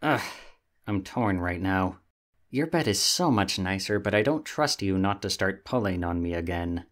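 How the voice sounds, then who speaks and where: A sassy young catboy played by a man with a fairly low voice. He is lazy and vain, talking casually and huffily, like a roommate in an ASMR roleplay. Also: lively intonation, normal speed, a bit bright. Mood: huffy, smug. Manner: sassy, casual, theatrically huffy.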